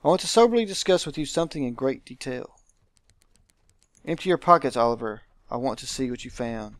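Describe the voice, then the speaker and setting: A man's voice: mid-range voice, animated, dry recording, lightly acted. Man acting a grandiose, theatrical aristocratic guardian. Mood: proud, commanding. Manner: pompous, dramatic, deliberate.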